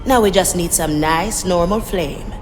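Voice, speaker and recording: A woman's voice: on the low side, measured pace, close to an everyday voice. An actress playing a bold female pirate navigator in a video game, with a dry, sardonic way of talking. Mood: wry, scheming. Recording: long reverb over game music.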